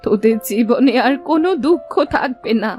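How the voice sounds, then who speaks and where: Woman playing a high-voiced grateful old village auntie. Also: lively intonation, moderate pace, darkish tone, natural voice. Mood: kindly, insistent. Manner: effusive, folksy, motherly way of addressing younger people. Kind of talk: cartoon fairy-tale dialogue. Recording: faint music behind.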